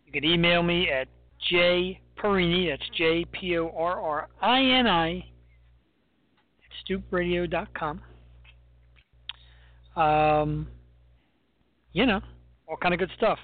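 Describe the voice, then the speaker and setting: A man co-hosting a radio talk show, bantering and teasing his co-host in a joking, animated way. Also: high-pitched, slow, pauses often. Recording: dry, phone-like narrow sound.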